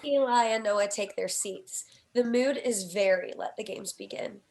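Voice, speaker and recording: A woman's voice: medium pitch, normal speed, gravelly, a little mellow. A woman reading stage directions in scripted screenplay narration.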